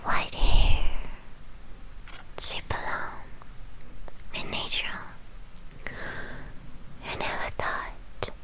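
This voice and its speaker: A woman whispering in a breathy voice close to the microphone, telling a roleplay story for ASMR in a halting, playful way. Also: low-quality recording. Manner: slow, halting, playful delivery with soft pauses. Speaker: ASMR performer.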